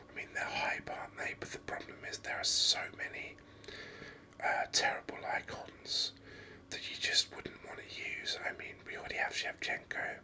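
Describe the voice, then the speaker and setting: A man hosting a gaming video in a breathy ASMR whisper, rambling along unhurriedly close to the microphone. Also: sharp tone. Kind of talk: ASMR whispered game commentary.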